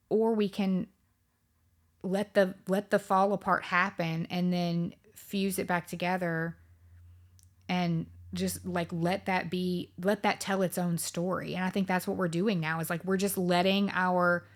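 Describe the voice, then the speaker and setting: A woman's voice: fairly low pitch, little pitch movement, unhurried. A woman in her thirties hosting a podcast, talking reflectively in casual chat with many fillers and restarts. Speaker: podcast host.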